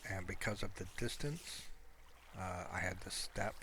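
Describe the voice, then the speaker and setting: A man giving casual, rambling gameplay commentary, explaining things in an unhurried way as he plays. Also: low voice, animated, noisy background. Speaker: gamer showing a Minecraft build.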